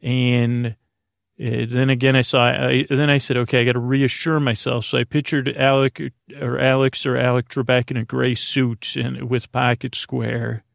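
A man hosting a bedtime podcast, rambling through a halting, mumbling monologue with a droning, creaky voice. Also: mid-range voice, little pitch movement, no echo, clean studio sound. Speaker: podcast host.

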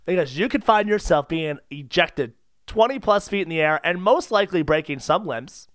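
Male podcast co-host: bright, animated, playful and giggly, close dry sound. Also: high voice, moderate pace, no echo. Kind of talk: casual comedic podcast chat.

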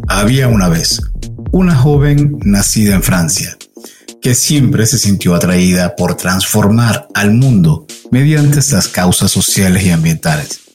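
A man co-hosting a podcast. His voice sits low, and he tells each guest's story in a measured, even way. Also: faint music behind. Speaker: podcast co-host. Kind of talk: podcast intro and interview.

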